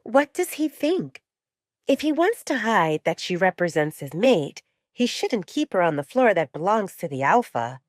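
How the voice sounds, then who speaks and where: A woman's voice: mid-range voice, very animated, measured pace, dry recording, studio quality. A woman voicing a confident, skeptical young female pack member in an audiobook romance, opinionated and questioning. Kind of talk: audiobook romance drama acting.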